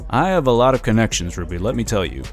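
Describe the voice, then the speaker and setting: An actor playing a slick, smug lobbyist in a sci-fi audio drama, chatty and condescending. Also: male voice, lowish voice, big pitch swings, fast talker, soft background music. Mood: smug, intrigued. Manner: chatty, smooth-talking, condescending. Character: smug, slick, scheming male political lobbyist.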